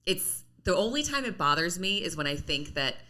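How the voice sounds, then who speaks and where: A woman's voice: lowish voice, very animated, moderate pace, sharp tone. A woman about to turn fifty co-hosting a casual podcast, blunt and candid, laughing easily. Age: 40s. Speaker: podcast co-host. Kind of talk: casual chat.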